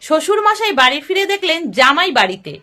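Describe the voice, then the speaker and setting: Woman telling a moral story, high voice, really animated up and down. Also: brisk. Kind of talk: scripted moral story narration in character dialogue.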